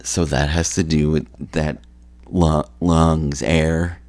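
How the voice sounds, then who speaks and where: A tired, phlegmy man hosting a solo podcast, talking slowly and rambling with many ums and restarts. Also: little pitch movement, a little mellow, no room sound. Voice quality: gravelly, low voice. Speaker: podcast host.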